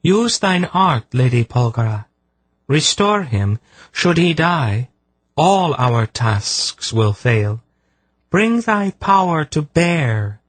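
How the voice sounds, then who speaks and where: A man narrating an audiobook voices a solemn blindfolded woman seeress who speaks in slow, archaic, formal phrases. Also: a little high, big pitch swings, no echo, pitched above the performer's own voice, exaggerated voice. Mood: commanding. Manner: archaic formal diction, slow drawn-out pleading.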